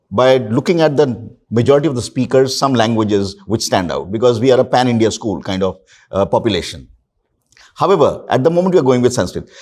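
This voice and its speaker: A man's voice: mid-range voice, darkish tone. A man speaking as a school leader in a panel discussion, laying out his points one by one with emphasis.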